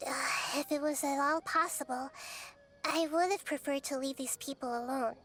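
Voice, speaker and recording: A woman voicing a small, wise, gentle girl deity in a video game, speaking in a measured, thoughtful, explanatory way. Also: sits high, background noise, close to an everyday voice. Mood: regretful, resolved. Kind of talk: video game character dialogue. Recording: music behind.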